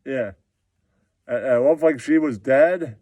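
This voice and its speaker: Man doing a slow, crooning puppet charmer. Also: medium pitch, warm tone, dry recording. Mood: smitten, savoring. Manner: drawn-out, repeats catchphrases.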